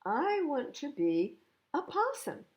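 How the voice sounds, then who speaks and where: A woman voicing a young male storybook bunny who is discontented and stubbornly wishful, with emphatic, drawn-out declarations. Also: high voice, wildly swooping pitch, muffled recording, pitched above the performer's own voice, heavily acted.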